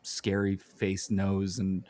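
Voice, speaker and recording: A man's voice: sits low, monotone, slow, a little mellow, no echo, studio quality. A man co-hosting a podcast with casual, jokey commentary and informal banter. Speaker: podcast co-host.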